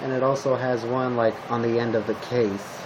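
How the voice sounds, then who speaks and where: A man improvising a casual roleplay monologue as a calm zombie apocalypse survivor, talking to the listener in short, hesitant fragments. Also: mid-range voice, normal speed, background noise. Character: calm male zombie apocalypse survivor giving weapon tips. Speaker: roleplay video creator playing a zombie survivor.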